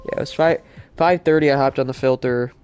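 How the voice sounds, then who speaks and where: A man's voice: mid-range voice, steady tone, a little fast, darkish tone, clear, resonant tone. A male streamer chatting casually while playing, sounding congested from allergies and rambling with lots of fillers. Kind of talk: live-stream gaming chat.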